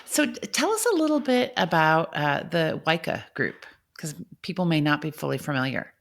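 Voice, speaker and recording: A woman's voice: sits low, very animated, moderate pace, gravelly. A woman hosting an interview podcast, upbeat and affirming with her guest. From grew up on a farm. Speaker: podcast host.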